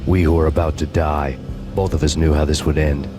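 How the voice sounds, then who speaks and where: An actor voicing a bitter, hardboiled male ex-cop, narrating in a brooding, deadpan noir voice-over. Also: a bass voice, a little fast, music in the background. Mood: grim.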